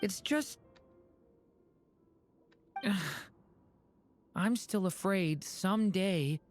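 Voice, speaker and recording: Soft, earnest young dragon boy. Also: medium pitch, very slow, soft background music. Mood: earnest, worried. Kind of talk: video game voice acting.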